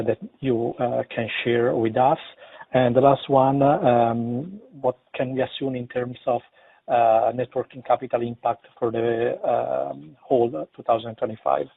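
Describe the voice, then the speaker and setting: A man's voice: medium pitch, gravelly, no echo. A man on a phone line, speaking as a financial analyst, politely and hesitantly lists formal questions on a conference call. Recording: narrow tinny phone line, dry.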